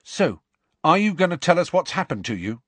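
An inquisitive teenage boy from a storybook voiced by a man, pitched up from the narration. He talks directly and earnestly, with a lively range and determined push. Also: moderate pace, no room sound.

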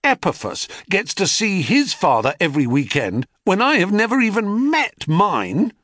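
A man voicing a proud, sulky boy, the son of a god, who talks high and defiant. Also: big pitch swings, normal speed, a bit bright, no echo, full character voice. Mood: petulant. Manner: high-pitched, boastful, defiant.

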